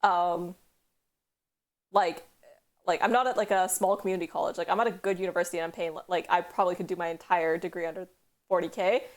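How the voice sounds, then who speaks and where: A young woman from Canada hosting a casual stream chat, rambling quickly with lots of "like" and casual swearing. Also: lowish voice, steady tone. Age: young adult. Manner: fast, rambling, heavy use of "like", swears. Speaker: streamer host.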